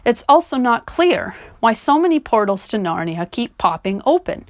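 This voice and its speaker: High, animated woman narrating scripted commentary. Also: normal speed, no room sound, studio quality. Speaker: video essayist.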